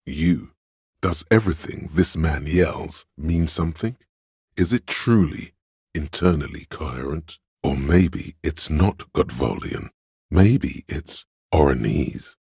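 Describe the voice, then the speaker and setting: A man playing a learned, detached inner voice of trivia in a video game, narrating in a measured, erudite, wry way with a smooth, resonant tone. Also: very deep voice, lively intonation, a little mellow, dry recording, studio quality, full character voice. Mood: scholarly, wry.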